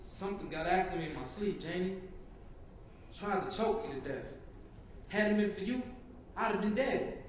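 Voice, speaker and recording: A man's voice: high-pitched, lively intonation, normal speed, long pauses. A teenage boy playing a sick, stubborn Southern working man in a dramatic reading. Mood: shaken. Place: public reading in a hall. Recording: very echoey hall, distant mic, faint noise.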